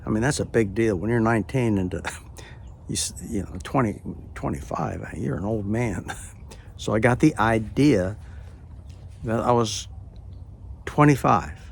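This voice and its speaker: A man in his late seventies telling a personal story in a hesitant way, with many pauses, and often dropping to a near-whisper. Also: lowish voice, creaky, slight background noise. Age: late 70s. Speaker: storyteller recounting a personal experience. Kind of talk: unhurried spoken personal narration.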